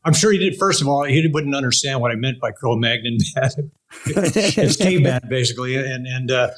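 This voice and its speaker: An older man with a Canadian accent, hosting an interview casually in a halting, rambling way. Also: mid-range voice, expressive. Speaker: interview host, veteran broadcaster.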